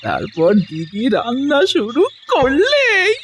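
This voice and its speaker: A woman voicing a mischievous old grandmother, the younger sister, with a squeaky, whiny, teasing voice. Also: huge pitch swings, takes it slowly, exaggerated voice. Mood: gloating. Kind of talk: cartoon voice acting. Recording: cartoon soundtrack with music.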